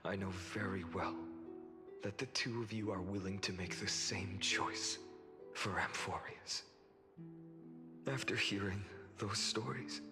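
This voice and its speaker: A man playing a calm, solemn, self-sacrificing young warrior leader in a video game, speaking in a measured, soft way. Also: lowish voice, long reverb tail. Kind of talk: scripted dramatic video game acting.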